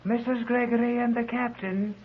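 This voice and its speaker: An actor playing a stern, commanding scientist who gives clipped, formal orders in an old-time radio serial. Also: male voice, very high-pitched, flat delivery, normal speed, husky. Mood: cold, commanding. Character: stern, commanding underground scientist, terse and imperious. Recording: muffled, band-limited old radio recording.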